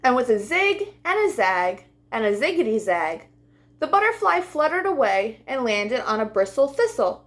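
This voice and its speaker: A woman reading a children's storybook aloud as a storytime host, with expressive, deliberate delivery for young listeners. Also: a little high.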